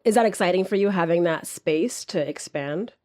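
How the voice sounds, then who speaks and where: A woman's voice: lowish voice, normal speed, a little mellow. A woman hosting a poetry podcast interview, giving frequent affirming murmurs and laughing easily. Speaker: podcast host. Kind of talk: interview conversation.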